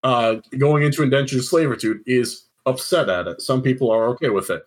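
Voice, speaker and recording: A man's voice: mid-range voice, fairly quick. A man running a tabletop game, narrating casually and informally. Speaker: game master.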